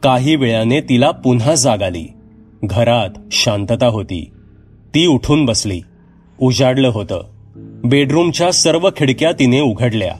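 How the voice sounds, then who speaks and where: A man's voice: medium pitch, lively intonation, unhurried, a little mellow. A man narrating a scripted horror story as a storyteller, with music behind him. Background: background music.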